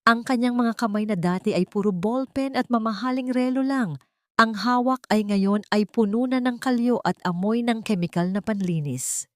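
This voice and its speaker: A woman's voice: on the high side, normal speed, no room sound, clean studio sound. A woman narrating a scripted dramatic story as an audio drama narrator.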